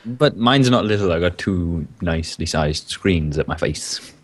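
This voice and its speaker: A man's voice: deep voice, animated, unhurried. A man joking cheekily as a guest in casual podcast banter. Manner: cheeky, jokey. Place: remote voice call.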